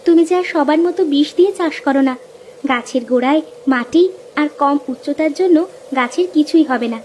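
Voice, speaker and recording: A woman's voice: high voice, fairly quick, darkish tone, close to an everyday voice. A woman voicing a blunt, practical farmer's wife in a Bengali cartoon folk tale. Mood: reassuring. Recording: noisy ambience behind the voice.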